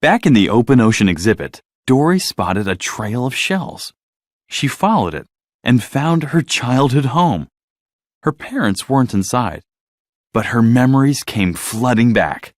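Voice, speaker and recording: Male storybook narrator, highly varied intonation, dry close sound, expressive delivery for young listeners. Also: measured pace, no echo. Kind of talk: scripted bedtime story narration with acted dialogue.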